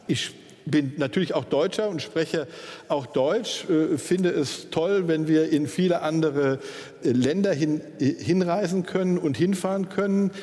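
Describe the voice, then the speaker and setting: A man's voice: fairly high pitch, unhurried, dark tone, in a large hall. A man from Hesse speaking as a panel guest, emphatic and fond of rhetorical questions. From Hesse, Germany. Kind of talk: panel discussion.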